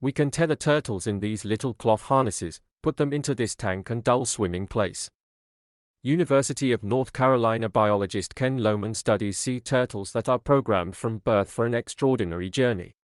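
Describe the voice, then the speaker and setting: A man's voice: medium pitch, moderate pace, dry recording, studio quality. A man reading scripted practice passages aloud as a narrator.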